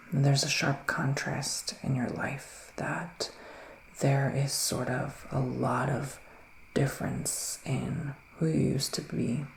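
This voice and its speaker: Soft-spoken, creaky-voiced male ASMR witch. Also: mid-range voice, little pitch movement, roomy sound, slight background noise, poor audio. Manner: slow, hesitant, musing, with murmured hums. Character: gentle, mystical male witch giving readings. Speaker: ASMR roleplayer as a psychic witch. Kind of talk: soft-spoken ASMR roleplay, partly whispered.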